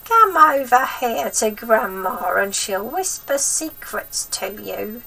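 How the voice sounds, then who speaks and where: A woman's voice: a little high, sing-song, takes it slowly, bright, forceful tone, far from the mic, voice pushed up, full character voice, poor audio. A woman voicing a sinister, gloating old grandmother in a storybook, drawing out nasty words with menacing relish. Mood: sly, menacing. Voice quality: throaty, breathy whisper at times.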